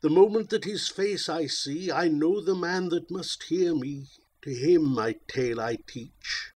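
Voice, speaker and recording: A man's voice: a little high, measured pace, darkish tone. A man voicing a tormented old mariner who solemnly declaims his tale in archaic verse.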